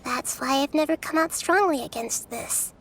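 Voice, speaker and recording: A woman voicing a small, gentle, wise young girl deity in a video game, speaking in measured, thoughtful, formal phrases. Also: high-pitched, a little hiss or hum. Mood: thoughtful, serious. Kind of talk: scripted video game character dialogue.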